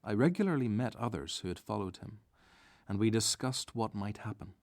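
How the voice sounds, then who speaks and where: Male actor, disciple narrator, low-pitched, reflective storytelling, scripted radio drama. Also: a little mellow, close to an everyday voice.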